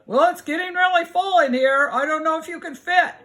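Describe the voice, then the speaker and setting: Woman voicing a high, eager little storybook animal. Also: a little fast, fairly bright tone. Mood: pleading, exclaiming. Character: small forest animals, eager, chilly, pleading to squeeze in.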